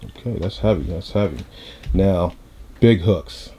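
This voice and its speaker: A man's voice: low-pitched, measured pace, mellow, slight background noise. A man hosting a casual hip-hop interview, speaking informally with plenty of slang. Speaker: interviewer, host.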